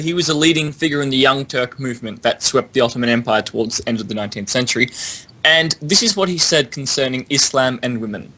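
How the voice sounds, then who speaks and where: A man leading a panel discussion in a formal, articulate and measured way, as if presenting prepared material. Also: mid-range voice, bright, forceful tone, poor audio. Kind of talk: panel discussion, reading prepared text aloud.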